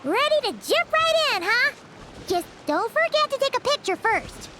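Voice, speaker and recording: A woman voicing a tiny, childlike fairy companion in a video game, cheerful and easily miffed, with a squeaky, chirpy, expressive delivery. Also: moderate pace, noisy background. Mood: upbeat. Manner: chirpy, expressive, refers to self by name. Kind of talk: video game character dialogue.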